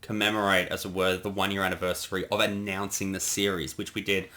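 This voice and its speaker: A man co-hosting a creator commentary in a relaxed, conversational, affable way. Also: low-pitched, fast. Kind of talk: casual commentary chat.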